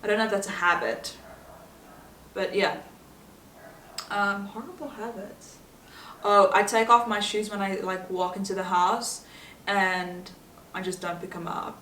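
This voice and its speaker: A woman's voice: mid-range voice, fairly even intonation, measured pace, pauses often, pushed and bright, a little hiss or hum. A chatty, giggly woman from South Africa with an accent, speaking Afrikaans as her first language, answering questions about herself. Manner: chatty and giggly, laughing often. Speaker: vlogger. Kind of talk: casual get-to-know-me chat. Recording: roomy echo, voice from a distance.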